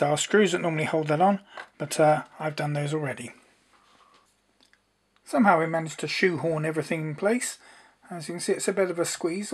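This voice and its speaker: A man's voice: a little high, husky. A man presenting a casual, unscripted hands-on demonstration, explaining as he goes with some hesitancy. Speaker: presenter demonstrating a homemade radio.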